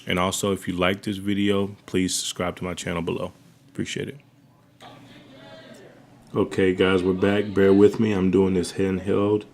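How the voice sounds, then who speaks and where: A young man with a bassy voice, giving a laid-back, slangy home-studio tutorial. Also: vocal fry, mellow, slight background noise. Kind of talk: casual tutorial talk.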